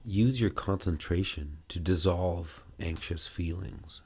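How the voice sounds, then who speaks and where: A man giving guided meditation and self-massage instructions in a measured way, pausing between short phrases. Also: low-pitched, darkish tone, husky.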